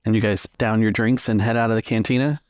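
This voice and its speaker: A man's voice: lowish voice, steady tone, fast, darkish tone, dry recording, clean studio sound. A man narrating a tabletop roleplaying game as its game master.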